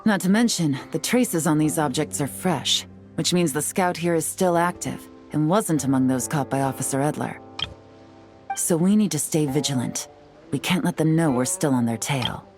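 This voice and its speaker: Actress as shrewd female detective, low voice, articulate, methodical, confident, game dialogue, faint music behind. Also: moderate pace, darkish tone, natural voice. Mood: measured, focused. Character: composed, shrewd female investigator giving instructions. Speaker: video game character, investigator.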